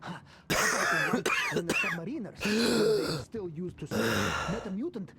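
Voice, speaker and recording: A man's voice: high voice, wildly swooping pitch, moderate pace, very noisy. An actor playing a cheeky, wry gunman in a video game, teasing and spinning tales theatrically. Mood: storytelling. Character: cheeky, joking male wasteland gunman, tale-teller. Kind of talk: video game cutscene banter.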